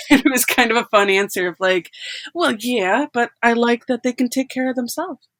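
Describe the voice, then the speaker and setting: A woman's voice: on the high side, moderate pace, a bit bright, no echo. A woman who edits sound effects, chatting casually and animatedly with frequent laughter. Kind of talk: casual chat.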